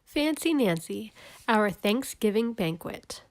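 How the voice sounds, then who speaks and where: A woman reading a story aloud for young children, animated and clearly enunciated, taking care over each word. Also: medium pitch, takes it slowly. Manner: animated, clearly enunciated, spells out and explains words.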